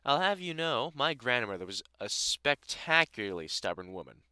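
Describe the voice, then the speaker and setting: A man's voice: mid-range voice, sing-song, moderate pace, sharp tone, clear, resonant tone, no room sound. A British man playing a dry, sarcastic vampire hunter in a conversational audio roleplay, chatting informally to the listener. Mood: teasing, amused. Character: gruff, sardonic but welcoming male vampire hunter.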